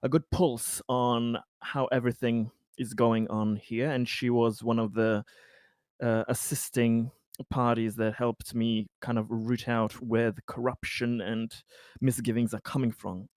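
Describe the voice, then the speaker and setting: A man playing a tabletop roleplaying game, improvising an advisory report in a hesitant, halting manner. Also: medium pitch, little pitch movement, a little mellow, no room sound, clean studio sound.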